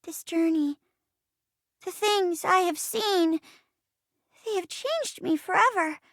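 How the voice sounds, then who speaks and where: A noble little wood sprite from a video game's fantasy play. The voice is extremely high and bright, delivered slowly and grandly. Every phrase is earnest and melodramatic. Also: female voice, no room sound, clean studio sound, full character voice. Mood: earnest, heroic. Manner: grand, formal, breathless theatrical delivery. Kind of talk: stagey fantasy play acting in a video game.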